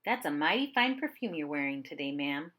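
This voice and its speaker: A woman voicing a gentle, courteous man with a folksy, measured manner in a storybook. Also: low-pitched, big pitch swings, pitched below the performer's own voice, full character voice. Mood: genial. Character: courtly, gentle blind man, dreamy music lover.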